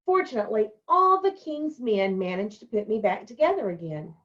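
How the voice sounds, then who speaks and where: A woman reading a children's storybook aloud and talking to young pupils in simple, deliberate phrases. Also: on the high side, big pitch swings, fairly bright tone, highs cut off. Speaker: elementary school staff member reading a picture book to children.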